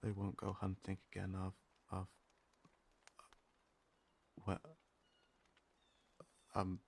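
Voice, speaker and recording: A performer acting a timid, shaken, tender survivor in an ASMR audio roleplay, halting and apologetic, with a husky, breathy, creaky voice. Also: deep voice, completely flat delivery, slight background noise, exaggerated voice. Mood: weary, hesitant.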